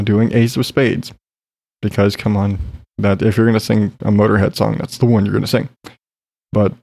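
A man's voice: lowish voice, warm tone, no room sound. A man talking in a casual solo podcast, rambling with lots of fillers and casual swearing, still congested after being sick. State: recovering from illness, congested.

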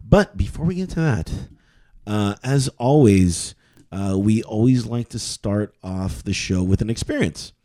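A man co-hosting a podcast in casual chat, often laughing and halting with many fillers and restarts. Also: fairly low pitch, lively intonation, warm tone. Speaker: podcast co-host.

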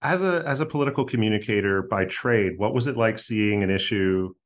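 A man's voice: on the low side, brisk. A man in his thirties hosting a political podcast, moving between a polished scripted opener and hesitant, chatty questions. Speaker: podcast host. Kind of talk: scripted intro, then casual hosting.

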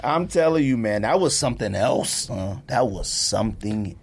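An American man hosting a podcast, talking sports casually in an animated, emphatic, exasperated rant with a husky voice. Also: medium pitch, moderate pace. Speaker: sports and sneaker podcast host.

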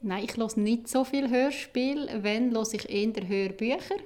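A woman's voice: fairly high pitch, measured pace, darkish tone. A woman giving spontaneous answers as an interviewee.

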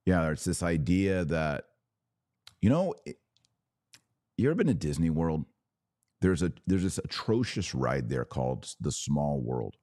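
A man with a slightly raspy voice, worn from hours of talking, hosting a casual religious commentary podcast in short, emphatic phrases. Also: very deep voice, big pitch swings, measured pace, pauses often, warm tone, no echo, studio quality. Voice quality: a little raspy. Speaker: podcast host.

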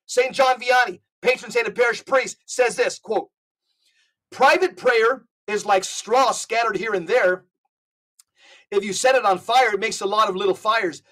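A man's voice: high voice, measured pace, bright, forceful tone, low-quality recording. A man speaking as a podcast guest, giving impassioned religious talk in an emphatic, exhortatory way and reading out quotations.

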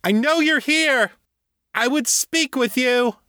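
A man's voice: high-pitched, very animated, a little slow, no echo, clean studio sound, voice pushed up, heavily acted. A man voicing an angry young feline priestess who speaks in a fierce, accusatory way. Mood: angry, demanding. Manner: shouting, snarling, accusatory.

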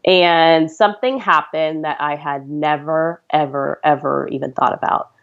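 A woman's voice: low voice, fairly even intonation, measured pace, muffled recording. A woman in her thirties, a podcast guest, telling her story in an earnest, chatty way. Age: 30s. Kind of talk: conversational storytelling.

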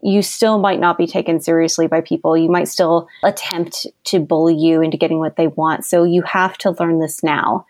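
A young woman speaks as a podcast guest, bubbly and quick to laugh. Her voice sits a little lower than most women's. The sound is dry and close, with no room around it. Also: flat delivery, darkish tone, no room sound. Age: young adult. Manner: bubbly and enthusiastic, laughs often. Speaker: guest copywriter. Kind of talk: conversational podcast interview.

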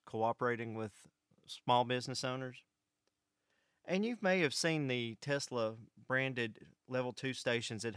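An American man telling a first-person story to an audience in measured, short phrases, as a vlog host. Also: mid-range voice, a bit bright, clean tone, no room sound, studio quality. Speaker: vlog host and electric cooperative employee.

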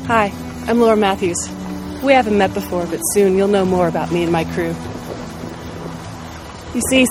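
A woman's voice: mid-range voice, natural voice. A woman playing a wry, self-reliant spaceship captain with folksy frontier phrasing in a scripted film promo. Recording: music bed behind the voice.

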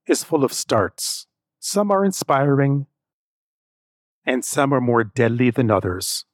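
A man's voice: mid-range voice, sing-song, slow pace, no echo, clean studio sound. A man hosting a podcast, introducing and interviewing a guest. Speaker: podcast host.

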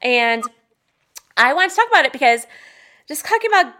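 A woman in her forties co-hosting a podcast, chatting candidly and excitably. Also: high voice, normal speed. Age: 40s. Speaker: podcast co-host. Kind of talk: casual chat.

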